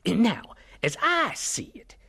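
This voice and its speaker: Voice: mid-range voice, sing-song, a little slow, creaky, bright, forceful tone, breathy edge, heavily acted. An actor playing a folksy, pushy, self-satisfied practical man in a radio drama, with a blustering way of talking. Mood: blustering, scheming.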